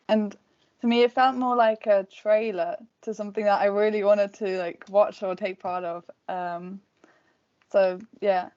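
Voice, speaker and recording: A woman's voice: mid-range voice, darkish tone. Young female student from Germany; short, trailing answers, mid-range voice, lo-fi recording. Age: young adult. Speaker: student guest. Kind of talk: casual group chat. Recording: dull lo-fi audio.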